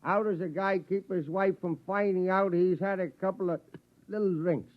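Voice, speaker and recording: Casting: a man to play a pleading, sentimental drunk, high-pitched and slurry, old radio play sound. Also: steady tone, moderate pace, lightly acted. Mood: wheedling. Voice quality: slurred, tipsy. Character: whining, pleading drunken barfly husband, sloppy and sentimental. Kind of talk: old-time radio acting. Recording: dull vintage radio drama recording.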